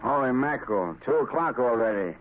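Suspicious small-time crook male actor, slangy and blustering, mid-pitched, on tinny vintage radio. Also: animated, a little fast, soft background music, close to an everyday voice. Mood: indignant, blustering. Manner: blustering, slangy tough talk. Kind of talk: 1940s radio drama acting. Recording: tinny narrow-band old radio recording.

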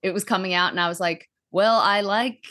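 A woman with a middle-pitched voice chats easily as a podcast guest, adding self-deprecating asides and laughing through her sentences. Her talk is loose and friendly. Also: fairly even intonation, a little fast, pushed and bright. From Australia. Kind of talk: casual chat.

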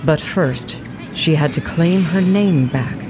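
A woman's voice: deep voice, slow, music in the background. A woman narrating an audio play in a scripted documentary style.

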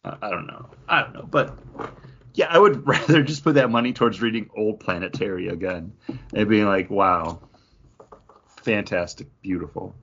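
A man co-hosting a comic book podcast, giving measured opinions with asides and steering the casual discussion. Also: on the low side, fairly bright tone, highs cut off, slight background noise.

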